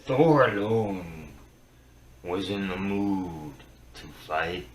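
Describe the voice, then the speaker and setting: Very slow, deep-voiced man, dramatic reading. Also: animated. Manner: solemn and theatrical, with halting phrases and swings from hushed to booming. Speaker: storyteller reciting Norse myth. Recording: roomy, distant mic with faint noise.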